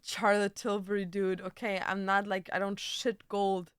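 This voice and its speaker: A woman's voice: medium pitch, little pitch movement, unhurried, clean tone. A woman livestreamer chatting casually and answering viewers, with a slangy, blunt and playfully sweary way of talking. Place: indoor home streaming setup.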